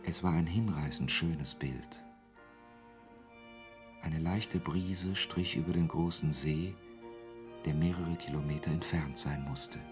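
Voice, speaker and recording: An actor playing a wry author who narrates his story in a measured, literary way in a German radio play. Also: rumbling low, music in the background.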